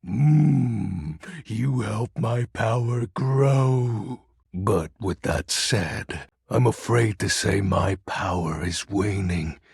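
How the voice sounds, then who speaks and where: An actor voicing a melodramatic, brooding game character, a personification of darkness who talks in pompous, theatrical, archaic phrases with a gravelly, husky voice. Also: male voice, lowish voice, very slow, a little mellow, no echo, studio quality, heavily acted. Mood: brooding, grandiose. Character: melodramatic brooding Lord of Shadows, personified darkness, secretly dorky. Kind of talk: video game character voice acting.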